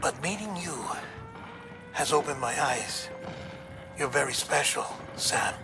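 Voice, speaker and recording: A man's voice: fairly high pitch, animated, slow, gravelly, over music, full character voice. An actor playing an anxious, lonely, eccentric man in a cinematic video game, wordy and hesitant, fretful one moment and tender the next. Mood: melancholy, tender.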